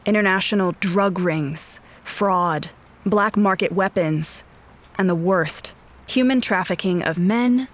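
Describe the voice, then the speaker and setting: An actress playing a guarded, haunted police officer in a scripted audio drama, speaking in terse, brooding, deliberate phrases. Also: female voice, mid-range voice, close to an everyday voice. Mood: bitter, confessional. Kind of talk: scripted audio drama acting with first-person narration. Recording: voice over prominent music bed. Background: dramatic music.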